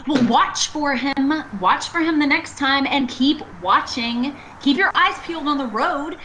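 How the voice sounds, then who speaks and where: A woman's voice: high voice, measured pace, bright, forceful tone, a little hiss or hum, low-quality recording. A woman playing a frightened, desperate lady driver in a radio thriller, urgent and dramatic. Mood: panicked, urgent. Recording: music behind the voice.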